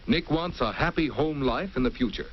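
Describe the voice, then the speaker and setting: Give me a man doing an earnest educational film narrator, animated, with a dull old recording. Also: mid-range voice, moderate pace, close to an everyday voice. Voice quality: earnest, instructive. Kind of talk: scripted 1950s film narration. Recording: muffled old film soundtrack with hiss.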